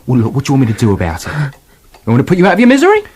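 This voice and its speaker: An actor playing a flippant, bossy storybook writer in a radio play, breezy, dismissive and colloquial. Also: male voice, mid-range voice, huge pitch swings, very fast, a little mellow, slightly rough.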